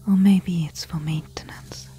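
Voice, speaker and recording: A woman playing a careful, eager temple explorer in a soft-spoken ASMR audio roleplay, hushed and deliberate. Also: on the low side, fairly even intonation, soft background music, lightly acted. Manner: hushed, slow, deliberate whispering.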